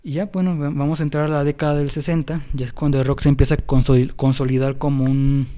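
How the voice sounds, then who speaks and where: Mellow-voiced Mexican man chatting loosely. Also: mid-range voice, little pitch movement, fast. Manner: rambling, many fillers and restarts. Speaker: podcast host. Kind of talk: casual music history chat.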